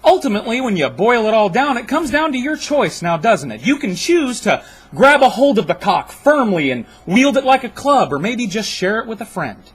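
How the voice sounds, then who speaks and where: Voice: medium pitch, sing-song, normal speed, husky. A speaker performing comedy sketch dialogue in a theatrical, mock-solemn manner.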